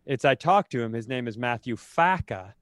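Man, podcast co-host, clear smooth voice, casual storytelling, rambling and self-interrupting, dry humour, no room echo. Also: medium pitch, expressive, moderate pace. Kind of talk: casual comedic chat and storytelling.

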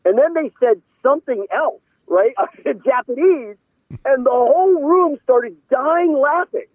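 A man's voice: extremely high pitch, a little slow, dry recording. A middle-aged man, a sports reporter, giving casual conversational analysis as a guest on a show. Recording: tinny narrow phone-like line.

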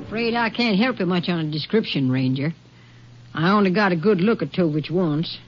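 A woman's voice: lowish voice, animated, over music, close to an everyday voice. An actress playing a plain-spoken, folksy landlady with an American accent in a 1950s radio western. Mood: unhelpful, plain. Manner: folksy, drawn-out rustic talk. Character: plain-spoken, folksy rooming-house landlady with a bad back. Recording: dull vintage 1950s radio recording.

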